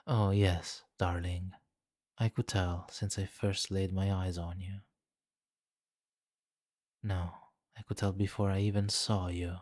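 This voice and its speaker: A deep-voiced vampire king played by a man speaks slowly and closely in a dry, intimate ASMR roleplay. He is imperious and seductive, teasing with soft menace and condescending amusement. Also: little pitch movement, no echo. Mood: amused, smug. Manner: slow, commanding, teasing, softly menacing. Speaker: roleplay voice performer.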